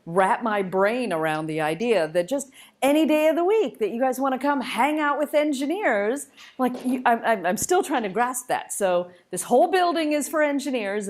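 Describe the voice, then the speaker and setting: A woman's voice: a little high, lively intonation, normal speed. A woman giving an informal public lecture, conversational, enthusiastic and emphatic as she shares personal stories as a guest speaker. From United States and Malaysia, born in India. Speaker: guest speaker, spacecraft engineer.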